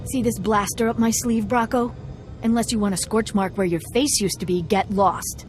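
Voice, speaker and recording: A woman's voice: medium pitch, fairly even intonation, moderate pace, lightly acted. An actress playing a feisty, defiant young woman in a video game. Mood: angry defiance. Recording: clear music behind the voice.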